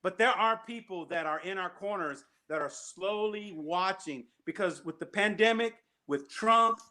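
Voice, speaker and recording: A man's voice: sits high, unhurried, bright, forceful tone. A man hosting a call-in show, talking emphatically and repeating his key points. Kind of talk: casual call-in chat.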